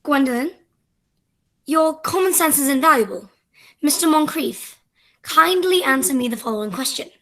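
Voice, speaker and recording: High-voiced prim young lady acted by a woman. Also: expressive, normal speed, long pauses, fairly bright tone. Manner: prim, formal. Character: Cecily, prim, romantic young country girl of society. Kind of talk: radio play acting of period comedy.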